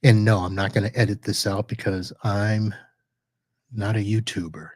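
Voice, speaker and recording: A man with a lowish voice talks through a live screen-share demo at his computer, chatty and loose, often correcting himself mid-sentence. The sound is dry and close, with no room echo. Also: flat delivery, moderate pace. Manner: chatty, self-correcting, thinks aloud while clicking. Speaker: podcast host reviewing network gear.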